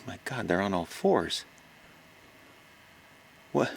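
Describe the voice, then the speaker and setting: An actor playing a frightened reporter recording himself on a secret night stakeout in a horror audio drama, halting and stammering. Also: male voice, deep voice, very animated, vocal fry, exaggerated voice. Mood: horrified, disbelieving. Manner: halting, stammering, gasping exclamations. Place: outdoors on a farm. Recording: noisy outdoor location recording.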